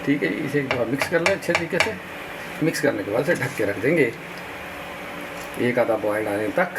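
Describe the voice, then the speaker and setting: A hoarse man with fever and a sore throat narrating a casual cooking vlog in his home kitchen, chatty and talking to viewers as brothers. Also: mid-range voice, expressive, brisk. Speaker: vlogger. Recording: noisy home video.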